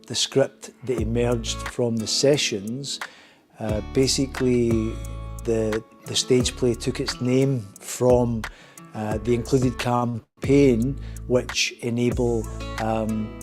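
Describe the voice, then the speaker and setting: A man speaks slowly in an interview, hesitating and pausing mid-sentence. His pitch stays level, and faint guitar music plays underneath. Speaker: project member discussing a stage play. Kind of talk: documentary interview. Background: soft guitar music.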